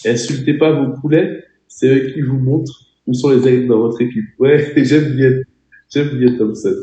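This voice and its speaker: A man's voice: medium pitch, steady tone, moderate pace, warm tone, echoey room, dull audio. A man with a cold and a French accent, giving an emphatic webinar training over a video call, exhorting his audience directly. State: has a cold, voice a bit off. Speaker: network marketing trainer.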